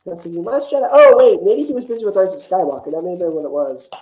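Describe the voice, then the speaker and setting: A man's voice: high-pitched, fast talker, roomy sound. A man chatting casually about films as a podcast panelist, over a muffled, thin connection. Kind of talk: casual film chat. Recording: muffled, thin phone-like line.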